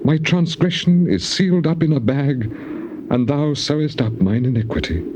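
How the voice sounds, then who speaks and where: A man's voice: fairly high pitch, lively intonation, normal speed, close to an everyday voice. An actor playing a grieving, embittered man of the Bible in a solemn, declamatory theatrical recitation of old scripture. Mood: mournful. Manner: solemn, declamatory, archaic phrasing. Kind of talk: dramatised King James scripture, theatrical recitation. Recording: noisy old cassette tape.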